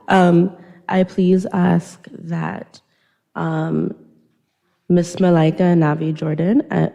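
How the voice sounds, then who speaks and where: A woman's voice: fairly low pitch, gravelly, mellow. A woman acting as an event emcee, making informal introductions in a reverberant recital hall.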